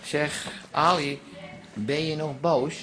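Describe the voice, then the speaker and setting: An actor playing a hungover, bumbling son, excitable and apologetic, in a Dutch comic radio play. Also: male voice, fairly high pitch, slow, rough recording. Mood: pleading.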